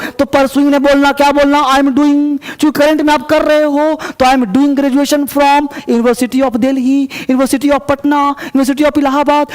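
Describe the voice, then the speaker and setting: Male teacher with a very high voice, recorded with a long reverb. Explains step by step and slows down for example sentences. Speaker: English teacher. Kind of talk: spoken English lesson.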